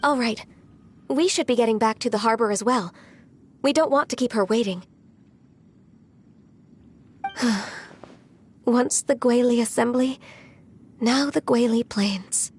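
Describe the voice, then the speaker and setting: A polite young woman played by an actress speaks sincerely and reflectively in a fairly high voice. She has the measured, earnest delivery of a fantasy game character, over faint background noise. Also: natural voice. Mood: wistful. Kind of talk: video game voice acting.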